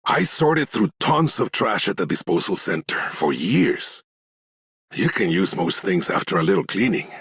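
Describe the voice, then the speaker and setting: An actor voicing a plain-spoken, practical, kindly tinkerer in a video game, with a rough, gravelly voice. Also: male voice, low-pitched, big pitch swings, normal speed, a bit bright, no echo, heavily acted. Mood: matter-of-fact, encouraging. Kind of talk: scripted game character lines.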